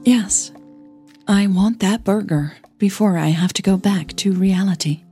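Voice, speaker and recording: A woman's voice: medium pitch, unhurried, dark tone, soft background music, lightly acted. A woman voicing a career-minded, disappointed diva in an audio drama, answering in short, guarded replies. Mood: defensive.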